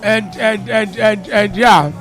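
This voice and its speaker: A South African man with a gravelly voice, an actor chatting as an interview guest, laughing and repeating words as he thinks. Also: sits high, fairly even intonation, slow, fairly bright tone, faint music behind. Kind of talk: casual interview chat.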